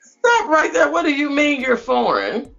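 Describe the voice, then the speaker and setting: Bright-voiced playful interview host. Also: sits high, huge pitch swings, measured pace, vocal fry. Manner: playful, teasing questions. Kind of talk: casual interview. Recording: dull lo-fi sound with faint music.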